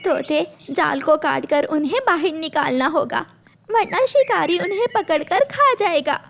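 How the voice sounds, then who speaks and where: Woman as a shrill, urgent little cartoon bird. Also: measured pace, gravelly, fairly bright tone, exaggerated voice. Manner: pleading, urgent. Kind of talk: children's cartoon dialogue.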